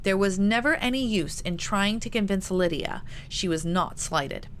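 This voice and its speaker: A woman's voice: mid-range voice, moderate pace, a little hiss or hum. A woman reading a novel aloud as its author, in a scripted storytelling style. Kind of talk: scripted fiction narration.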